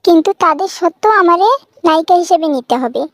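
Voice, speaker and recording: A very high, childlike cartoon voice for a vain young Bangladeshi woman , acted by a woman. She boasts and wheedles, desperate to become a film heroine. The sound is close and dry. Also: quick pace, fairly bright tone, dry recording. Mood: eager, insistent. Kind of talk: comic cartoon dialogue.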